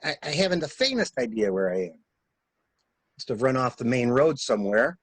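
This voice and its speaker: A man's voice: medium pitch, sing-song, a little fast, slightly rough, no room sound. A man playing a talkative, flustered stranded engineer with a polite, stammering, theatrical manner in a murder mystery reading. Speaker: actor in an amateur library reading.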